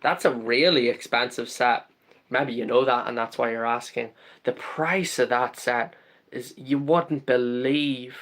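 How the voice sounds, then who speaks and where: A man's voice: mid-range voice, steady tone, a little slow, fairly bright tone. A man from the UK hosting a casual live stream, greeting viewers by name and rambling with lots of ums and uhs.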